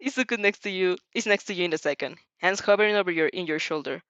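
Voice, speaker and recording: A woman's voice: lowish voice, fast, fairly bright tone, no echo. Woman reading dramatically, dull dry recording. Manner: emotive, stumbles over lines. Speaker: amateur fan fiction narrator. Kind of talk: expressive dramatic story reading.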